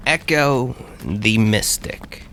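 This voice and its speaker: A man playing a mysterious mystic traveler in an audio drama, telling menacing tales in an ominous, deliberate manner. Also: medium pitch, gravelly, a bit bright, a little hiss or hum, heavily acted. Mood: offhand, eccentric. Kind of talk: audio fiction podcast acting.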